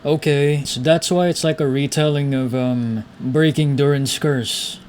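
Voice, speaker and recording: A man's voice: a little high, steady tone, a little slow, a little mellow. A man streaming a video game with casual, slangy commentary, musing aloud as he plays. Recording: noticeable background noise, game sound. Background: video game audio.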